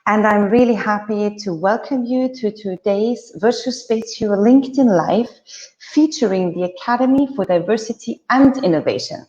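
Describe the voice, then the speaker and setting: A woman's voice: mid-range voice, moderate pace, dark tone. A woman from Austria hosting a live-stream discussion, welcoming guests in an upbeat way with occasional fillers. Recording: echoey, dull video-call audio.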